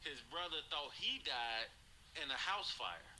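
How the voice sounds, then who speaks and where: Very bright-voiced man, rambling and insistent. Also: on the high side, very animated, measured pace, slight background noise, poor audio. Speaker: podcast co-host. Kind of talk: casual, rambling podcast chat.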